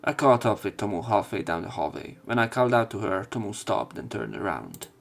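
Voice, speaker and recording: A man's voice: fairly low pitch, very animated, fairly quick, creaky. A man narrating a visual novel as a let's player, reading its story and dialogue aloud.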